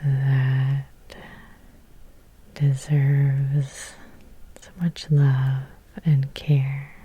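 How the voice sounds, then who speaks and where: A woman whispering a guided ASMR hypnosis in a breathy, gentle, soothing way, close to the microphone with long pauses. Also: extremely low pitch, completely flat delivery.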